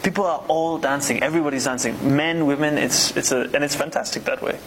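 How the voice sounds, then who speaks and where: A man from Uganda answering interview questions casually, relaxed and searching for words with many fillers. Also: a little high, a little fast, a little hiss or hum, poor audio. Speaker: interview guest.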